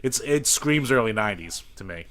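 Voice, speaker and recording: A man's voice: fairly low pitch, animated, fairly quick, fairly bright tone, slight background noise. A man co-hosting a podcast, bantering casually about games in a profane, self-mocking way. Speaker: podcast co-host.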